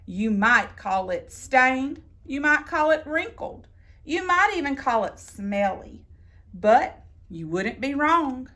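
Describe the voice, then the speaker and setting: Animated high-pitched woman reading a storybook. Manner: theatrical, deliberate phrasing with drawn-out emphasis. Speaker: storybook reader. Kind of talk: expressive read-aloud of a children's picture book.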